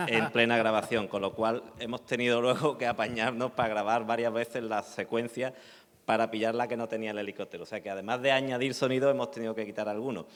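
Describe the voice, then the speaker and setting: A man's voice: mid-range voice, steady tone, fast, fairly bright tone. A man, a sound technician, speaking informally and explaining things at a presentation. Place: large indoor hall at a public event. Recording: echoey hall, long reverb.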